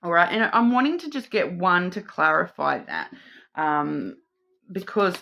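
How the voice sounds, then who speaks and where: A woman's voice: fairly low pitch, very animated, a little slow, gravelly, sharp tone. A middle-aged Australian woman with an Australian accent, giving an intuitive card reading to camera with lots of ums and restarts. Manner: rambling, many ums and restarts. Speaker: tarot card reader.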